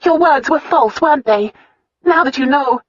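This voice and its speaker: A woman's voice: high voice, moderate pace, no room sound, dull audio, pitched above the performer's own voice, close to an everyday voice. A narrator voicing a proud, fiery young noblewoman who talks in an indignant, demanding way.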